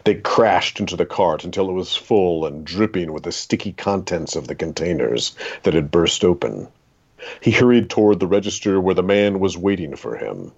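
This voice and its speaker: A man with a gravelly, husky voice reading a literary short story aloud in a dramatic, deliberate way. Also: deep voice, expressive, a little mellow, muffled recording.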